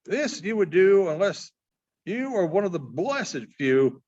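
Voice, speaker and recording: A man reading short stories aloud in an expressive storytelling style, as a librarian does. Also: high voice, measured pace. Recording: dull, muffled lo-fi sound.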